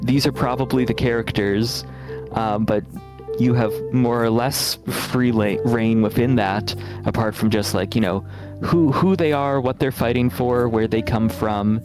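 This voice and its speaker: A man talks casually as a game developer answering interview questions. He explains his work in a measured, thoughtful way at a middle pitch, with faint guitar music underneath. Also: steady tone.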